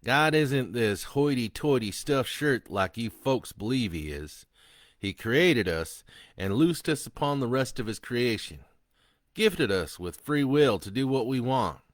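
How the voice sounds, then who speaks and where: A man's voice: mid-range voice, animated, a little slow, no room sound, clean studio sound, pitched above the performer's own voice, lightly acted. A man voicing a crude, gloating male killer on death row who mocks a priest. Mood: preaching. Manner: taunting, vulgar, mocking.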